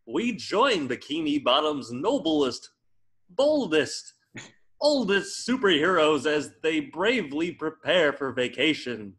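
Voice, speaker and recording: Male announcer, slow and grandly animated, introducing a cartoon. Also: on the high side. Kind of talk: theatrical cartoon announcer narration.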